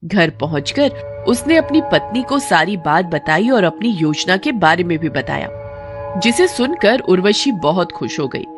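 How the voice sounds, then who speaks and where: A woman narrating a children's story in an expressive storybook manner, with music playing underneath. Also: lowish voice, measured pace. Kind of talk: scripted narration of a kids story. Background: background music.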